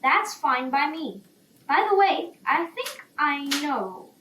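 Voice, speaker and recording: A curious, gently teasing little storybook squirrel acted out by a woman. It speaks calmly in a high, lively voice, in a roomy recording a little away from the mic. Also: slow, heavily acted. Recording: roomy, distant, faint background noise.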